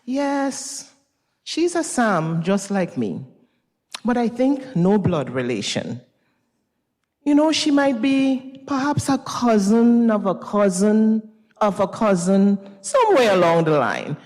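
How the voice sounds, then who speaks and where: A woman playing an elderly, wise Grenadian mother in a dramatized reading, speaking deliberately with a Grenadian accent and consoling manner. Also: medium pitch, big pitch swings, a little mellow. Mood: musing. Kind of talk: dramatized stage reading of fiction. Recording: reverberant recital hall.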